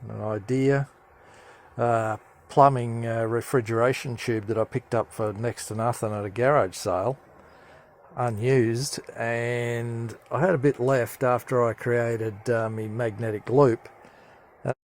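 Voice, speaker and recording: A man with a medium-pitched voice narrates a casual, hands-on hobby demonstration. He talks in a halting way, thinking aloud as he goes. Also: steady tone. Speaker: hobbyist radio presenter.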